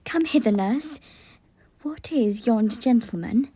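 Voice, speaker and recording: A woman's voice: on the high side, lively intonation, slow pace, dark tone, husky, full character voice. An actress playing a lovestruck young noblewoman in an amateur Shakespeare audio drama, recorded at home on a phone. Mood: anxious longing.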